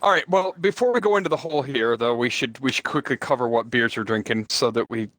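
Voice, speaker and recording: A man's voice: mid-range voice, quick pace, a bit bright, dry recording. Guy co-hosting a game stream, rambly and self-deprecating, dry close sound. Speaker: stream co-host. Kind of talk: casual gaming chat.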